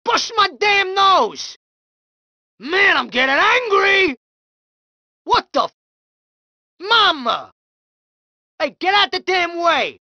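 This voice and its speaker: A woman's voice: extremely high pitch, very animated, takes it slowly, pauses often, pushed and bright, no room sound, exaggerated voice. A woman voicing a hot-tempered young male mob thug in a video game, snarling street-tough threats and taunts. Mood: enraged shouting. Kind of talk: video game combat barks.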